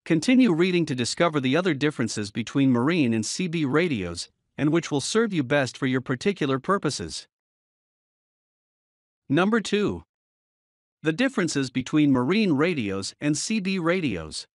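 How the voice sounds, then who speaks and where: Male voice-over narrator, slightly high and animated, dry studio sound, reads a numbered list. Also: no echo.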